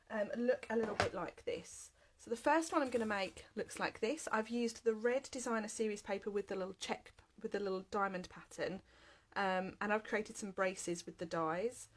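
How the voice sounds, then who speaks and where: A woman's voice: mid-range voice, a little hiss or hum. A woman in her thirties with a heavy cold, leading a live card-making class from her home craft room. She talks in a chatty, upbeat way with plenty of "um"s. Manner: chatty and upbeat, frequent "um" fillers. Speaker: craft demonstrator. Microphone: webcam at desk, then overhead camera.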